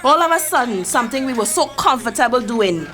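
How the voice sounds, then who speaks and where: A proud older Trinidadian matriarch holds the floor, as an actress plays her, recounting history with fierce conviction. Her voice sits a little high. Music plays under the radio-drama scene. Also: animated, fairly quick, fairly bright tone. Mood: impassioned. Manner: storytelling, impassioned, preaching history. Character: Mavis, older community matriarch, proud and forceful. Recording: music behind the voice.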